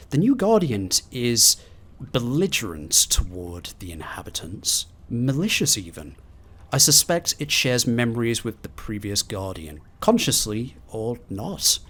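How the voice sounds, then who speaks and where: A man doing video game commentary and reading in-game documents aloud in a theatrical, expressive way. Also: medium pitch. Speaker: gaming video host.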